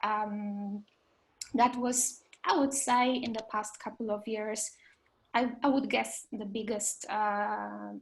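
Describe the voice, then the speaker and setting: A woman's voice: mid-range voice, fairly even intonation, slow, creaky, low-quality recording. A woman hosting an online panel over a video call, speaking hesitantly with many fillers. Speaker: meetup host and moderator.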